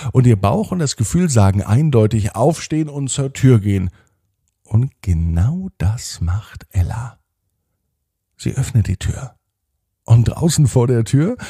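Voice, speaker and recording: Male children's podcast storyteller: dark, creaky, husky voice, deliberate bedtime delivery, close dry sound. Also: mid-range voice, no room sound. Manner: deliberate, repeats phrases, drawn-out emphasis.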